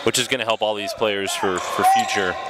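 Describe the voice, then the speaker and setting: A man with a lowish voice calls a high school basketball game in a relaxed, chatty way. Loud gym noise sits behind him. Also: brisk. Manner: casual, chatty commentary. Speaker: play-by-play commentator.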